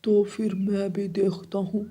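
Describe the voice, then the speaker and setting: A woman's voice: mid-range voice, monotone, measured pace, warm tone, a little hiss or hum, lightly acted. A woman voicing a menacing male snake in a children's cartoon, with a whispery, threatening delivery. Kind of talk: children's cartoon voice acting in Hindi.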